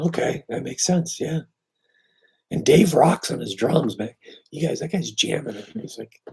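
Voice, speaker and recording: A man with a hoarse voice still weak after surgery, chatting casually and rambling on a live stream about his knife shop. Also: medium pitch, poor audio. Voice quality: very raspy, breaking into breathy near-whisper. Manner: rambling, easygoing, filler-heavy.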